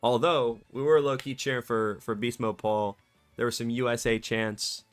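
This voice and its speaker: A male streamer chatting casually and excitably on a live stream, his voice a bit scratchy from cheering, in a warm home room. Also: mid-range voice, moderate pace, clear, resonant tone, soft background music. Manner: excitable, slangy, rambling.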